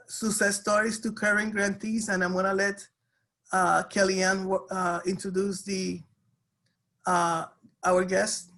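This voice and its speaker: A webinar host moves through slides slowly and hesitantly, dropping in fillers between phrases. The delivery is unhurried and plain. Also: medium pitch, fairly bright tone. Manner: slow, hesitant, many fillers. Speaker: webinar host, program staff. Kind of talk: webinar presentation.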